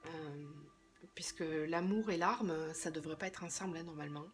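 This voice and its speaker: A slightly hoarse woman doing a tarot reading, thinking aloud hesitantly and often trailing off into a quieter voice. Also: lowish voice, moderate pace, soft background music. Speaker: tarot card reader.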